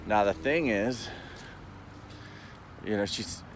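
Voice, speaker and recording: A man giving a rambling, casual monologue as a vlogger, explaining in a halting way and checking in as he goes. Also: lowish voice, background noise.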